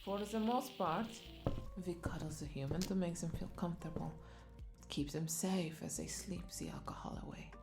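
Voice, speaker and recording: Flirty, creaky-voiced vampire bartender played by a woman. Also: lowish voice, moderate pace, music in the background. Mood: gentle, reassuring. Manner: flirtatious, teasing, breathy asides dropping into whispers. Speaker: audio roleplay voice performer. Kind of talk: intimate scripted audio roleplay.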